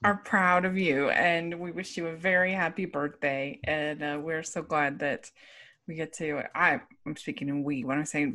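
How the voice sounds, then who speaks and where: Middle-aged female host, relaxed chat, frequent laughter, low pitch. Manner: chatty, laughs often, filler-heavy. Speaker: podcast host. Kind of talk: casual chat.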